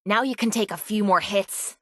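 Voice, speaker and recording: A woman's voice: medium pitch, normal speed, a bit bright, no room sound, studio quality, close to an everyday voice. Actress as a brash Irish mercenary woman. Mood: sardonic, brisk. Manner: blunt, cocky, slangy. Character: tough, brash, sarcastic young woman mercenary companion. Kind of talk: video game companion barks.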